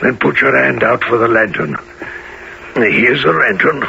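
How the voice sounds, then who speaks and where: A menacing burglar in a radio play, heavily gravelly and unhurried, giving orders with rough authority. The recording is dull and noisy, like old radio. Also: male voice, medium pitch, sharp tone, full character voice. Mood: gruff, commanding. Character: brutal gruff adult male burglar, menacing and commanding.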